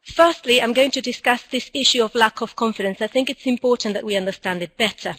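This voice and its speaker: A woman's voice: on the high side, fast talker, fairly bright tone, husky, dry recording. A woman, not a native English speaker, giving an earnest conference talk with frequent ums and self-corrections. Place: indoors, recording from Cambridge.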